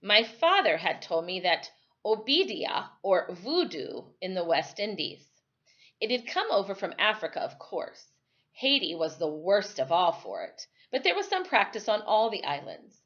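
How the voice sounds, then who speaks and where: A slightly muffled, lo-fi recording of a woman reading a storybook aloud. Her pitch is medium and moves up and down in a very animated way. She phrases each part expressively and deliberately, like a teacher at storytime. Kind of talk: expressive read-aloud of a children's novel.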